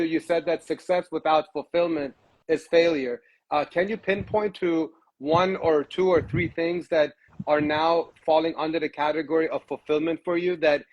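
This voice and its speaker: Man, fairly high voice, casual, filler-heavy, livestream host on a phone. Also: low-quality recording. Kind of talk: livestream interview.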